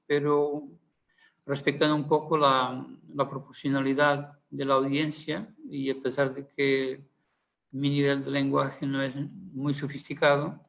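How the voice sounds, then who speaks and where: A man's voice: fairly high pitch, vocal fry. A man speaking Spanish with a Portuguese accent, a panelist giving a conference talk, hesitant with many fillers and restarts.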